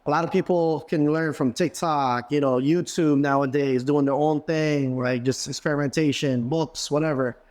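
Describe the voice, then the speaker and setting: A male podcast host with a voice pitched a little high, asking meandering questions in a relaxed, friendly way. He keeps the conversation going with a stream of encouraging yeahs and okays. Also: moderate pace, hardly any pauses. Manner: encouraging, frequent affirmations, loose rambling questions. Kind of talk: casual interview questions.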